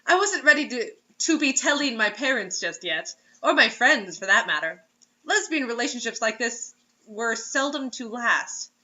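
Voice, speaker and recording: A woman's voice: on the high side, animated, moderate pace, sharp tone, muffled recording. A woman reading a story aloud as host, in a mocking dramatic way, breaking off for sarcastic casual asides.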